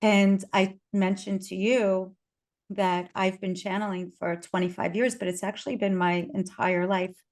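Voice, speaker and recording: Lady hosting a spiritual chat show, chatty, lots of likes and ums, medium pitch. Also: level tone, unhurried. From Brooklyn, New York. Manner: chatty and warm, with filler words and laughter. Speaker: podcast host. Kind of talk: casual conversation.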